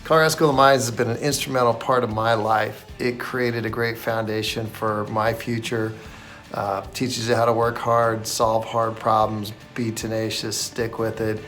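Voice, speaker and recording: A man's voice: fairly low pitch, flat delivery, moderate pace, a bit bright, soft background music. A man giving a testimonial as an alumnus. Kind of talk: prepared testimonial.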